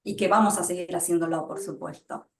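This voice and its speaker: A woman's voice: on the low side, steady tone, a little fast. Emphatic woman in an echoey room. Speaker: translator and publisher. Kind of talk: conversational interview. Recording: roomy echo.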